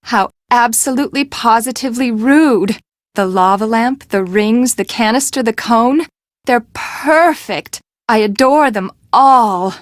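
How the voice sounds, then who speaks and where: An actress playing an imperious, eccentric fashion designer in a video game, with a haughty, dramatic, emphatic delivery. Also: female voice, on the high side, unhurried, dry recording, studio quality, lightly acted. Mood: delighted, affected.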